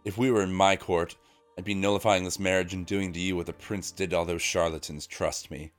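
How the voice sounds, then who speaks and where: Man putting on a low, pompous count for a tabletop game, cold and dismissive. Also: steady tone, a little fast, faint music behind, natural voice. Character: haughty middle-aged nobleman, a count.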